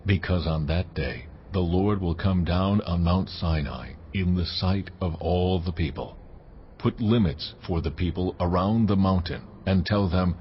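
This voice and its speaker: A man playing God in a dramatized scripture recording, slow, weighty and authoritative, with a solemn commanding manner. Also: low voice, lo-fi sound, a little hiss or hum.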